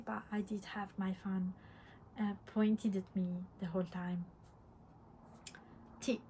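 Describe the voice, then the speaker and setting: A woman from Italy with an Italian accent chatting casually as host of a vlog, halting and reflective, often repeating words. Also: medium pitch, darkish tone, low-quality recording. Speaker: podcast host, crafter. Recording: clear background room noise.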